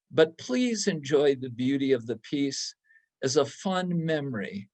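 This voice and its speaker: A man reading literary prose as a storyteller, in measured, deliberate phrases. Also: medium pitch, darkish tone. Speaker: reader in a church readers theatre.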